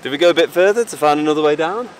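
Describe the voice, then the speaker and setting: A man's voice: on the high side, racing, over music. A young man vlogging on a coastal clifftop, chatting casually into a handheld camera in short, laid-back remarks. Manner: laid-back, brief quips. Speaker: travel vlogger. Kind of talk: casual outdoor vlog chat. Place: outdoors on coastal clifftop and shore. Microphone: camera held at arm's length. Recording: wind and surf noise. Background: waves and wind.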